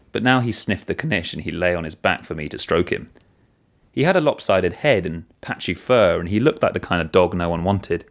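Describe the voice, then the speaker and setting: A man reading a first-person short story as narrator, in an expressive storytelling manner. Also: low voice.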